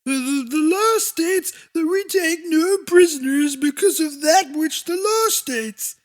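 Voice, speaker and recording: A man puts on a short warrior woman for a bizarre audiobook story. The voice is pitched far up, stiff and officious, reciting rules in circular formal phrasing. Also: slow. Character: short female human raider, stilted and law-quoting.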